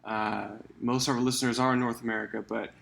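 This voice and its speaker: A man's voice: medium pitch, little pitch movement, fast, gravelly, a bit bright. A man, the podcast's producer, giving plain, matter-of-fact updates about the audience. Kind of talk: casual conversational podcast.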